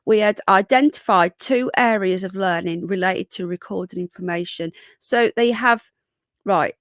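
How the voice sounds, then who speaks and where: A woman's voice: medium pitch, no room sound. Congested British woman hosting a live stream. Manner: chatty, reads chat comments aloud and greets viewers. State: congested and croaky from a cold. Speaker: live stream host reading news. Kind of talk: casual live stream commentary.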